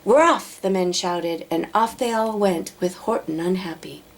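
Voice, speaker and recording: A woman narrating a children's storybook aloud, expressively, with dramatic drawn-out emphasis. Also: lowish voice, vocal fry, slight background noise. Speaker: storybook reader.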